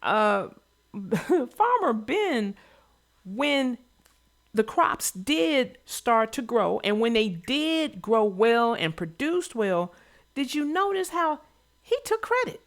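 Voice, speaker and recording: A woman talks casually as a co-host about a children's story in a somewhat high voice. Her pitch swings widely as she makes her points emphatically and hands out advice in everyday conversational language. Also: sing-song, slow pace. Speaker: read-aloud show co-host.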